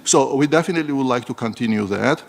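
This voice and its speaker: Gravelly man speaking haltingly on a panel. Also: medium pitch. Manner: halting, with many uhs and repeated words. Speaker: foundation representative, panel speaker. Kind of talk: conference panel remarks. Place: large conference hall.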